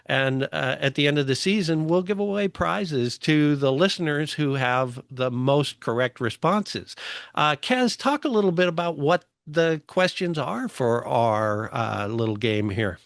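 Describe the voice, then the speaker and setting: A man's voice: fairly high pitch, a little slow, creaky, dry recording, studio quality. A man hosting a podcast in a casual, conversational presenting style. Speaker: podcast host.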